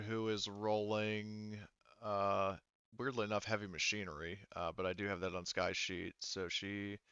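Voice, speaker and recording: A man casually running a tabletop roleplaying session as game master, halting and thinking aloud as he goes. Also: fairly low pitch, bright, forceful tone, clean tone, dry recording, dull audio.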